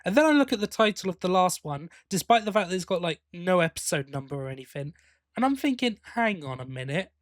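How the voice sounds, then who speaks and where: A man with a fairly high-pitched voice co-hosts a podcast in an easy, conversational style. He often restarts and repeats his words as he talks. The sound is very dry, with no room echo. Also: animated, quick pace. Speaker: podcast co-host. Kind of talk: casual chat about audio dramas.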